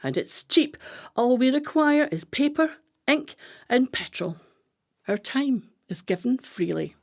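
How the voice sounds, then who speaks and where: Woman acting bossy scheming woman in a radio play, speaks real slow and declamatory. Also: high-pitched, sing-song, creaky, exaggerated voice. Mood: rousing, determined. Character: brisk, commanding woman plotting political pamphlet campaigns.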